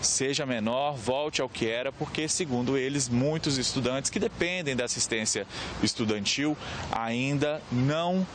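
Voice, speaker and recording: A Brazilian man reports live for the news from a roadside. His voice is mid-pitched and his delivery is improvised and a bit halting. He speaks into a handheld microphone with clear outdoor street noise around him. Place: outdoors on a campus road.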